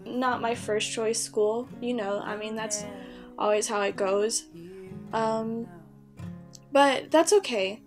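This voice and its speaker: Young woman vlogger, higher voice over guitar music. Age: young adult. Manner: candid, self-deprecating, rambling. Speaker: YouTube vlogger. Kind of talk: casual personal vlog to camera. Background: soft guitar music.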